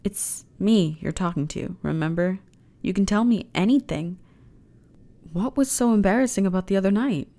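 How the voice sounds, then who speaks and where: A woman's voice: mid-range voice, close to an everyday voice. A woman playing a flirty, reassuring alien starship officer in an intimate ASMR roleplay, talking in a chatty, teasing way. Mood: teasing, coaxing. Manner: teasing, chatty, conversational with sighs and soft laughs.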